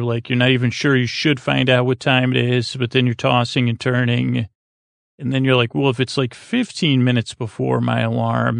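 A man with a gravelly voice hosting a sleep podcast, rambling whimsically and haltingly, often trailing off and circling back. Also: mid-range voice, dry recording. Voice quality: very creaky, gravelly. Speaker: podcast host.

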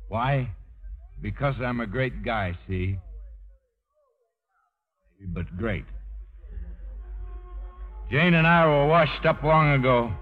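An actor playing a brusque, self-pitying husband in 1950s radio drama, clipped and sardonic. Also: male voice, medium pitch, slow pace, pauses often. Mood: bitter. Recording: muffled, phone-like old radio broadcast, faint music.

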